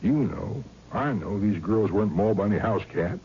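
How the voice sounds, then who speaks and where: Deep, lively small-town sheriff. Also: male voice, fairly quick, creaky, breathy edge, dull audio, exaggerated voice. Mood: exasperated. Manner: folksy drawl, hesitant, blustery. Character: folksy small-town sheriff, gruff, worried, plain-spoken. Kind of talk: old-time radio drama acting. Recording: thin, noisy vintage radio recording.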